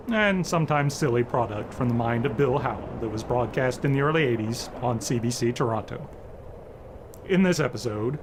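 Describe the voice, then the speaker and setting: A man reading a scripted introduction as presenter of classic radio dramas. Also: mid-range voice. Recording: clearly noisy recording with background ambience. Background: ambient noise.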